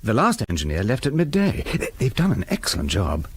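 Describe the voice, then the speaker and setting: A man's voice: on the low side, huge pitch swings, a little fast, husky, heavily acted. A British man acting in a radio drama as an eager, apologetic deputy, deferential and a little hesitant. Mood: eager, explaining.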